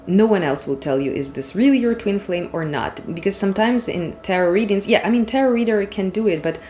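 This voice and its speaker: A woman's voice: medium pitch, expressive, brisk, warm tone, a little hiss or hum. A woman from Ukraine with a Ukrainian accent, doing a casual tarot reading in English as a non-native speaker, rambling and frank with casual swearing. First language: Ukrainian or Russian. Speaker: tarot reader.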